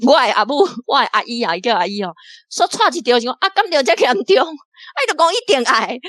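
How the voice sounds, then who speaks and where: Animated woman guest from Taiwan. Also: sits high, fast talker, no echo, studio quality. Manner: animated, laughs often, restarts phrases. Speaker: guest reader discussing the drama. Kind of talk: casual on-air discussion.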